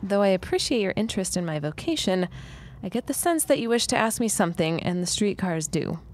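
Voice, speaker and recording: A woman's voice: medium pitch, very animated, a little fast, lightly acted. A woman playing a frank, plain-spoken, dryly witty working woman in a period audio drama. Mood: curious, frank.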